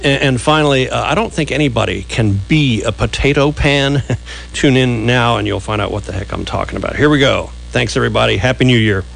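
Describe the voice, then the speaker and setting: A man's voice: mid-range voice, brisk, gravelly, fairly bright tone, slight background noise. A chatty American man co-hosting a radio show in casual, jokey talk. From United States. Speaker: co-host.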